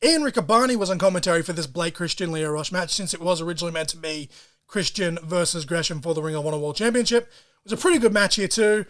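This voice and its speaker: A man hosting a solo podcast, talking in an excitable, profane way full of wrestling-fan slang. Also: high-pitched, fast talker. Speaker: podcast host.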